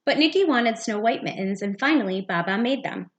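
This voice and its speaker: A woman's voice: on the high side, expressive, brisk. A woman, a teacher, reading a picture book aloud for young children. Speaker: second-grade teacher.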